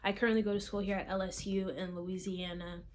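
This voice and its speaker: A young woman from South Florida chatting casually to camera as a fitness vlogger, rambling with frequent ums and soft trailing asides. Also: medium pitch, flat delivery, fairly quick. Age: young adult. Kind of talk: casual chat and workout instruction. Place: small room, plain wall, indoors.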